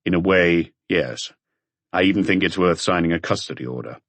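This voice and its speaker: A man voicing a calm, authoritative police chief inspector in an audiobook, terse and matter-of-fact. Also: low voice, moderate pace, no room sound.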